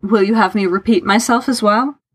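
A woman's voice: medium pitch, a little fast, a bit bright, natural voice. A woman voicing a guarded, bitter man who speaks in a curt, matter-of-fact, formal and sardonic way, as in an audio story. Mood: curt, exasperated. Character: Tharke, guarded, bitter, self-deprecating man hiding love.

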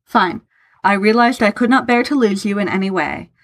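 A woman's voice: medium pitch, moderate pace, close to an everyday voice. Woman voicing a guarded, sardonic man. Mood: curt, exasperated. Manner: matter-of-fact, curt, sardonic, formal. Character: Tharke, guarded, bitter, self-deprecating man hiding love.

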